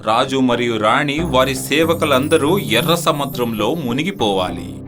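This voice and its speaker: Lively young fairy-tale hero played by a man. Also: mid-range voice, a little slow, over music, close to an everyday voice. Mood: determined. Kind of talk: children's fairy-tale audio acting.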